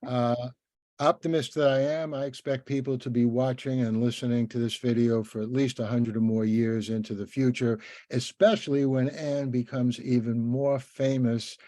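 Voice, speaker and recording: A man hosting a casual podcast interview, talking in a halting, rambling, encouraging way. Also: mid-range voice, steady tone, mellow, dry recording. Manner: halting, rambling, with many repeated word starts.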